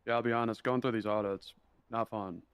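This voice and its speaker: A man's voice: lowish voice, steady tone, fairly quick, smooth, no echo, close to an everyday voice. A man with a Midwestern American accent, playing a patient, apologetic government official in improvised game role-play, with a hesitant, conciliatory manner. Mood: patient, weary. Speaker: role-player in an online game.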